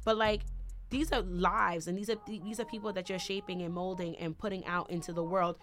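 Fast-talking woman in casual chat. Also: fairly low pitch, steady tone. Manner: fast, emphatic, repeats herself.

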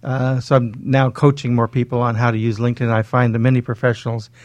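A man's voice: medium pitch, level tone, fast, no room sound, highs cut off. A man explaining things conversationally as an expert guest in an interview. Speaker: guest, LinkedIn coach.

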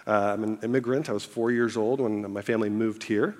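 A man's voice: on the low side, steady tone, a little fast. A man in his thirties, born in Egypt and raised in America, giving a candid, self-deprecating farewell talk to a congregation. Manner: candid, self-deprecating storytelling with frequent fillers. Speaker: church staff member giving a farewell speech. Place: church auditorium on stage. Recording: long reverb of a large hall. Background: audience laughter.

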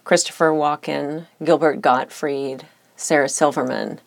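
Woman podcast host, low voice, dry close mic, calm and candid, a bit of fry. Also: dry recording. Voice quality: occasional vocal fry and uptalk. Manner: reflective, measured, candid. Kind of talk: solo podcast monologue.

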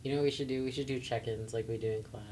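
A young woman with a deep, raspy voice, casually leading a student podcast chat. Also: fairly even intonation, very fast, warm tone, slight background noise. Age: young adult. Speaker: podcast co-host.

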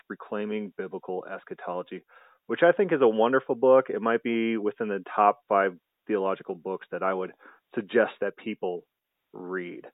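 Eager host with a low voice. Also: male voice, no echo. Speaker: podcast host. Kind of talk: hosting an interview. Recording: dry, phone-like audio.

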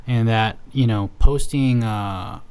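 An American man from San Francisco speaking as a podcast guest, opinionated and deliberate, often searching for his words. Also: fairly low pitch, a little mellow, smooth. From San Francisco, United States. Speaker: podcast guest, healthcare provider. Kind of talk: opinionated casual discussion.

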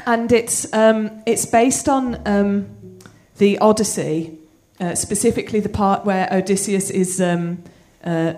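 A woman's voice: mid-range voice, steady tone, takes it slowly, few pauses, darkish tone. Woman musician doing shy self-deprecating banter between songs, bit hesitant, guitar going behind her, live gig recording. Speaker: singer-songwriter performing live. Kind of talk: singing, with casual chat between songs. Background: guitar playing and tuning.

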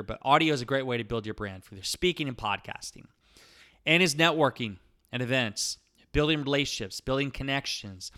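A young man with an Iowa accent, hosting his own podcast and giving an energetic, emphatic motivational talk. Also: mid-range voice, smooth. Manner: energetic, emphatic, punchy repetition. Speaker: podcast host.

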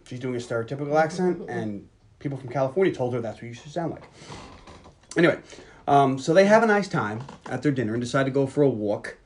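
A man's voice: medium pitch, expressive, quick pace, slight background noise. A man with a Virginia accent, co-hosting a podcast and casually retelling a movie plot with jokes. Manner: chatty, jokey, drops into voices for characters. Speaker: podcast co-host.

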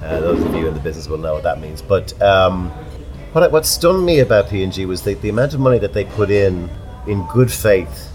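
A man with an Irish accent hosting a casual pub chat, chatty and full of animated anecdotes. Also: sits low, fairly quick, soft background music. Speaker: podcast host.

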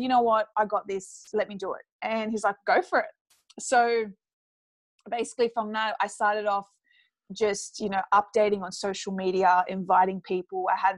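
A woman's voice: mid-range voice, dry recording. A woman from Australia with an Australian accent, chatting upbeat as an interview guest and laughing often. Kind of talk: casual interview chat.

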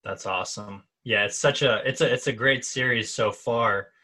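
A man co-hosts the podcast with a bright voice at a middle pitch. His questions ramble and circle back on themselves in a relaxed, chatty way. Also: normal speed, breathy edge. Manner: conversational, rambling questions with restarts. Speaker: podcast co-host. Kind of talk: casual interview questions.

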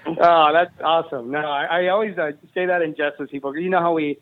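A man in his thirties from Mexico tells his story in a quick, animated flow, keen and ready with a joke. His voice sits a little high for a man. He comes through a tinny, phone-like line. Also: crowd noise. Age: 30s. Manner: enthusiastic, jokey storyteller. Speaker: guest, company founder and Army veteran. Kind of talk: casual interview chat.

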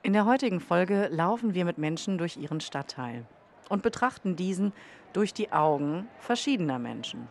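A woman's voice: lowish voice, animated, moderate pace. A woman reading scripted podcast narration as the host.

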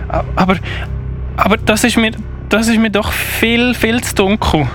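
A performer playing an eager but timid little boy in a children's Christmas radio play, with a slight excited stammer. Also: medium pitch, a little slow, soft background music, lightly acted.

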